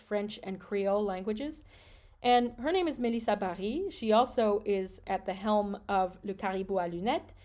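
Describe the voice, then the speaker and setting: A woman's voice: medium pitch, darkish tone. A woman from Michigan hosting a podcast, earnestly talking through concerns about education and reading out statistics. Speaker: podcast host and French teacher. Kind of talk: solo podcast commentary.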